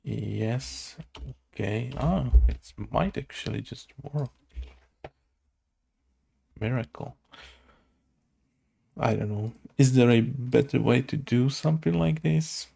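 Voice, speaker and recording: A man's voice: mid-range voice, takes it slowly, creaky, long pauses, mellow, a little hiss or hum. A man thinking aloud through a casual coding tutorial, hesitant and muttering to himself in short fragments. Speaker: game development tutorial host.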